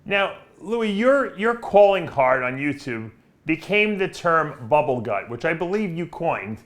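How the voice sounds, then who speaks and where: Male talk show host, American accent, slightly high voice, rambling conversational questioning. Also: very animated, measured pace, fairly bright tone. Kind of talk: conversational interview.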